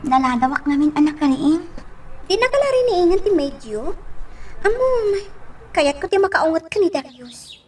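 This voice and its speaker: A very high, girlish voice from a woman acting as an emotional young schoolgirl in a radio drama. She sounds familiar and youthful, recorded a little off the mic with faint noise behind her. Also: very animated, normal speed, recorded from a distance. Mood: chatty, probing.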